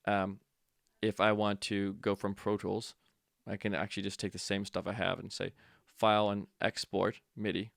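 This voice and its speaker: A man giving a casual software tutorial, informal and offhand, thinking aloud as an instructor demonstrating step by step. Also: lowish voice, flat delivery, moderate pace, vocal fry, no room sound, clean studio sound.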